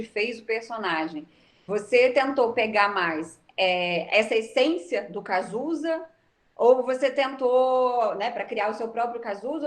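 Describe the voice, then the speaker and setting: A woman from Brazil, a theatre teacher, asking long, hesitant questions on an online video call. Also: a little high.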